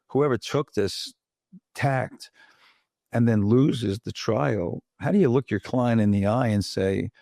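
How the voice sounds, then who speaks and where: A low, gravelly male voice, recorded close and dry. He hosts a podcast interview in a chatty, rambling way, steering his guest with leading questions. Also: darkish tone, no room sound. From Bronx, New York. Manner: chatty, asks leading questions with frequent "right?". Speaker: podcast host. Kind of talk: conversational interview.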